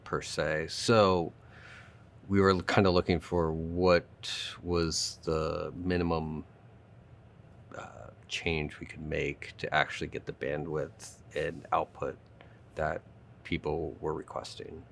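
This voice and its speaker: A man's voice: a bass voice, level tone, takes it slowly, vocal fry, slight background noise. A man speaking as a design expert in an interview, explaining informally. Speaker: audio company design expert.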